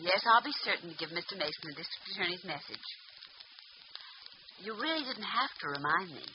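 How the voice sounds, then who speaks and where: An actress playing a brisk, capable secretary, in 1940s radio drama. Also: female voice, on the low side, lightly acted. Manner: brisk, animated on the phone. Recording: dull old radio recording with clear hiss.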